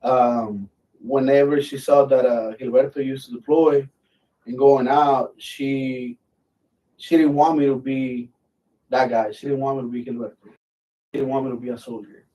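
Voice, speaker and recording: A man's voice: mid-range voice, steady tone, measured pace, mellow, distant mic, low-quality recording. A middle-aged man from Mexico, a military veteran, telling stories in a blunt, easygoing way and swearing freely. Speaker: military veteran guest, channel host. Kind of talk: casual live chat.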